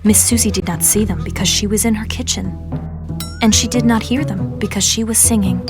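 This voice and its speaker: A woman narrating a children's storybook in an expressive, measured read-aloud manner. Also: medium pitch. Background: music.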